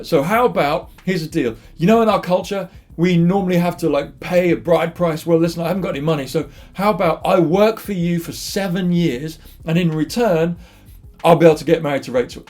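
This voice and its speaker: A man's voice: on the high side, darkish tone, natural voice. Man acting out an eager, lovestruck young traveller. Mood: bargaining. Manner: chatty, informal, gushing.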